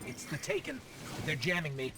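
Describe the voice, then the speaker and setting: An eager little robot companion, reporting scans and readings with a lively, bouncing delivery. He sits over loud game battle noise. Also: on the high side, normal speed. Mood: urgent. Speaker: scout companion.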